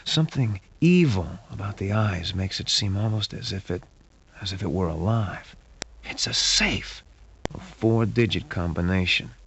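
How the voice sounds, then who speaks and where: A man's voice: lowish voice, fairly bright tone, slightly rough, heavily acted. A man playing a wry hard-boiled detective who narrates a sci-fi adventure game in a dry, dramatic, scripted noir style. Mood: wry, musing. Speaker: game narrator and lead character. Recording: muffled lo-fi game audio with hiss.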